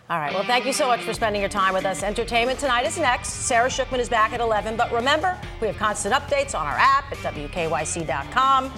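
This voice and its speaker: A woman's voice: on the high side, lively intonation, a little fast, over music. An American woman anchoring a live local newscast in an informal, chatty way. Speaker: TV news anchor.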